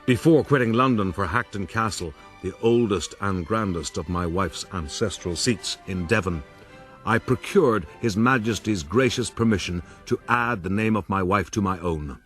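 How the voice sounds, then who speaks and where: A vain gentleman adventurer played by a man recounts his rise in a radio drama. His voice is fairly low and his delivery formal and pompous, with faint music behind him. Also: normal speed, natural voice. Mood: smug. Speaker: narrator. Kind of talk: first-person radio drama narration.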